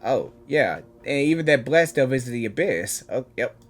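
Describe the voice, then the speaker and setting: A woman's voice: a bass voice, lively intonation, moderate pace. A woman giving live gaming reaction commentary, exclamatory and often repeating phrases. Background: faint game music.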